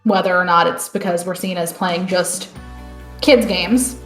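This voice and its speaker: A woman hosting a live online panel discussion, chatty and relaxed. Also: fairly low pitch, unhurried, roomy sound, faint music behind. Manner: relaxed, chatty, many fillers like "end up". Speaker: panel host.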